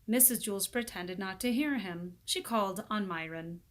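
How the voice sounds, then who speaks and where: A woman's voice: mid-range voice, normal speed. A woman narrating a children's book aloud as a teacher would for students.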